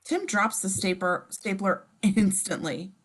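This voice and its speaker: A woman's voice: mid-range voice, fairly even intonation, a little slow, fairly bright tone. A woman reading scene descriptions for a table read, informal and given to wry asides. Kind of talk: scripted narration in casual table read.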